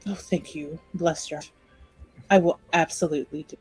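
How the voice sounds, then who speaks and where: A woman acts out a gracious noblewoman in a fantasy tabletop game. Her voice sits a little low, and she speaks with formal, practiced politeness over background music. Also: steady tone, normal speed, darkish tone, slightly rough, rough recording. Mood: gracious, courteous. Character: polite, gracious noblewoman host with a customer-service courtesy.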